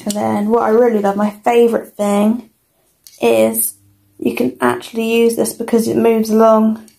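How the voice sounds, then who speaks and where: A young woman with a British accent giving a casual, informal toy review as an unhurried walkthrough. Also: fairly high pitch, creaky, mellow.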